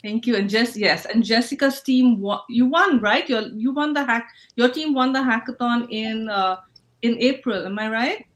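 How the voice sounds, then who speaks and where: A woman's voice: a little high, moderate pace. A woman hosting a casual livestream over a video call, upbeat and encouraging, often restarting her sentences. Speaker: host of a livestreamed hackathon session.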